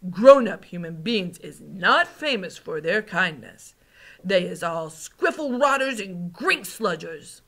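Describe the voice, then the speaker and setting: A woman's voice: fairly low pitch, sing-song, slow, exaggerated voice. A woman voicing a big, friendly but stubborn and gloomy male giant from a storybook, talking in mangled folksy grammar with invented words. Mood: scornful. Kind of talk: storybook character read aloud.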